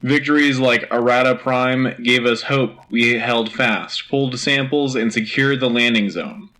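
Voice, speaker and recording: Man playing a bright, forceful wartime radio anchor. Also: mid-range voice, measured pace, low-quality recording. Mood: grave, urgent. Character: earnest rousing male wartime radio anchor. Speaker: radio news host. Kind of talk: scripted wartime radio news show in a sci-fi war.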